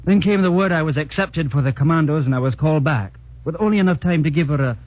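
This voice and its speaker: An actor playing a sentimental, lovesick young Scottish commando piper in a 1940s radio drama, with a Scottish accent. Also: male voice, on the high side, fast talker, no echo, close to an everyday voice. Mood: lovesick, wistful. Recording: muffled 1940s radio broadcast.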